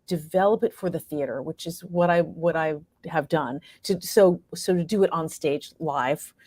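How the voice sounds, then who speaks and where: A woman's voice: sits low, moderate pace, a little mellow, dry recording. A woman answering interview questions as a playwright and performer, conversational and reflective, often searching for words.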